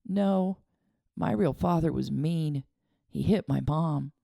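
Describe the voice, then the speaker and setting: Woman as a kind young boy, earnest and steadying; storybook read, gravelly undertone. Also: sits low, slow pace, no room sound, studio quality, full character voice. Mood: reassuring. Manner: earnest, gently reassuring.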